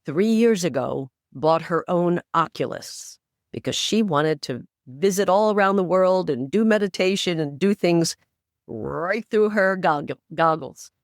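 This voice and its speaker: A woman's voice: lowish voice, expressive, a little slow, no room sound. Casting note: woman, 60s, advocate guest, emphatic and persuasive, dry close sound. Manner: emphatic, persuasive, urging listeners on. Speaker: podcast guest, inclusive AI advocate. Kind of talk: conversational interview.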